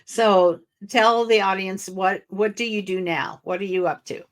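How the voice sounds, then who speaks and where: An older woman hosting an interview podcast, relaxed and rambling with fillers. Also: medium pitch, a little slow. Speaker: podcast host. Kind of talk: casual interview chat.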